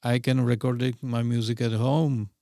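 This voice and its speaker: A man's voice: medium pitch, flat delivery, normal speed, warm tone, dry recording, clean studio sound. A middle-aged man with a Czech accent, a podcast guest talking in casual interview chat, hesitant and full of fillers and broken phrasing.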